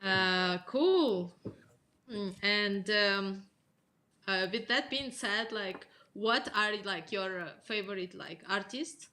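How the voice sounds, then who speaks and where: A woman co-hosts a casual DJ chat over a video call, choosing her words with slow, halting pauses. Her voice is bright and sharp. She speaks at an unhurried pace. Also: medium pitch. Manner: slow, hesitant, halting phrasing. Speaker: DJ and podcast co-host.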